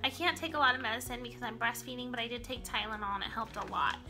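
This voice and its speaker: Bright-voiced chatty young woman vlogger. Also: a little high, quick pace, soft background music. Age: young adult. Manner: chatty, rambling with ums and likes. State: sick, slightly nasal. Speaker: beauty vlogger. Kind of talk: casual chat while doing makeup. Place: small bedroom indoors. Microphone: camera in front, close framing.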